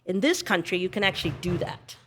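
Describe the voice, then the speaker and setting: A woman's voice: on the low side, animated, fast, fairly bright tone, a little hiss or hum. A woman in her fifties speaking as a panel guest, reflective and emphatic, often checking in with "right?". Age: 50s. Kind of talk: panel discussion, conversational.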